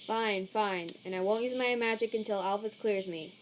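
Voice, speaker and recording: A woman's voice: mid-range voice, a little hiss or hum, lightly acted. A laid-back male cartoon skeleton acted out by a woman talks in a middling register. He is slangy and protective, with a weariness that makes him halt over his words. Night insects chirp faintly behind him. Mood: gentle. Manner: casual, slangy, halting when troubled. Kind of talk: comic dub acting. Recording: cricket night ambience behind.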